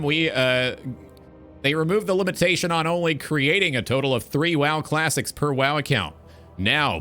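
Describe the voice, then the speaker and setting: Man, livestream host, very lively pitch swings, forceful bright tone, informal and sweary, music in the background. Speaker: live stream host. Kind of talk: casual livestream talk show.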